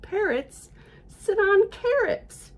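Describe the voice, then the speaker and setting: A woman voicing a bossy, know-it-all male cat in a picture book, making emphatic, drawn-out pronouncements. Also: very high voice, expressive, pitched above the performer's own voice, full character voice. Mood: sure, instructive. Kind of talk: children's storybook read-aloud.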